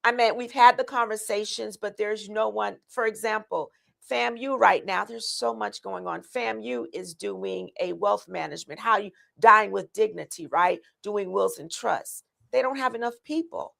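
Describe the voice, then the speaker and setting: A woman's voice: medium pitch, moderate pace, gravelly, fairly bright tone. A woman running for county commissioner, earnestly laying out her plans with many ums and restarts. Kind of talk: answering interview questions.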